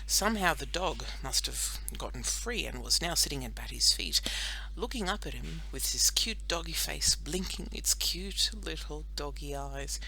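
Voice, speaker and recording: A woman narrating a children's audiobook expressively, with dramatic pauses and drawn-out phrases. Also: deep voice, expressive, creaky, pushed and bright, a little hiss or hum, low-quality recording.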